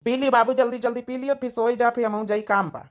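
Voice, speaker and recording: A woman playing a gentle, concerned young village parent with an Awadhi accent in a family cartoon. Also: fairly high pitch, fairly even intonation, fast talker, natural voice. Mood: tender. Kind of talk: family drama cartoon dialogue.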